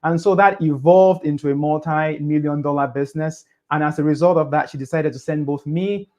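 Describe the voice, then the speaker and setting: Man with a lively voice. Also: on the high side, a little fast. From Cameroon. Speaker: podcast guest. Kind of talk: casual interview storytelling.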